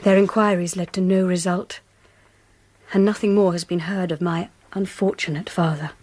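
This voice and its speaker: A woman's voice: fairly low pitch, steady tone, moderate pace, a little mellow, no room sound, lightly acted. A woman acting a reserved, well-bred young Victorian governess with an English accent, composed and precise, in a radio drama. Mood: grave, sorrowful.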